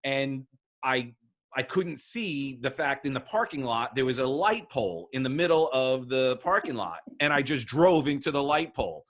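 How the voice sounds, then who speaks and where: A man hosting an interview show, telling a story in a relaxed, thoughtful way. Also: medium pitch, normal speed. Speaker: show host.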